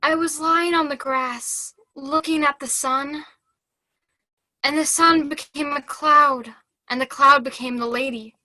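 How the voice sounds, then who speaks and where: A high, bright, childlike voice of a devout, troubled young nun, acted by a young woman. She speaks slowly and haltingly, earnest and fragile, as in a theatre monologue. The sound is dry and close. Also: fairly even intonation, no echo, heavily acted. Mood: haunted, earnest. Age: teens. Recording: video call.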